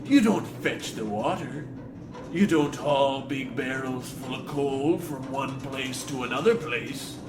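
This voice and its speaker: Man playing a slow, scornful mine foreman. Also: medium pitch, music in the background. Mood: scornful, menacing. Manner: slow, menacing, folksy. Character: gruff, scornful veteran coal mine foreman. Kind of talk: comic radio play acting. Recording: roomy, noisy mine ambience.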